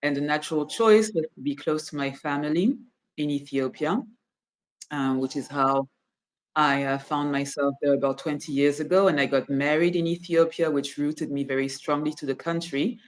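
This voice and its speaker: A woman's voice: sits low, fairly even intonation, normal speed, low-quality recording. A woman from Cameroon in her forties, a fashion brand founder talking reflectively about her life in an interview. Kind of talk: interview conversation.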